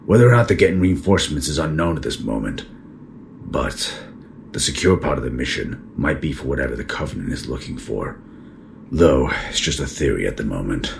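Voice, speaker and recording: A man acting a stern space-military captain with an extremely deep, gravelly voice. He is guarded and official, in an audio drama with faint noise under the voice. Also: big pitch swings, brisk, exaggerated voice. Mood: grave, confiding.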